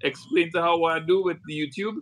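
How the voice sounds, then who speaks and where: Bright, chatty middle-aged man. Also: on the high side, steady tone, fast. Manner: chatty, repeats words, teasing. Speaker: co-host. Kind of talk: casual family chat on a live stream. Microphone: video call.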